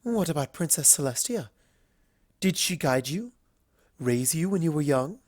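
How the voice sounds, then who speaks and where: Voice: medium pitch, expressive, unhurried, a little mellow, dry recording, clean studio sound, pitched above the performer's own voice. A performer voicing a refined, courteous, slightly anxious female unicorn pony in a storybook reading, with polite, careful phrasing. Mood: earnest, hesitant.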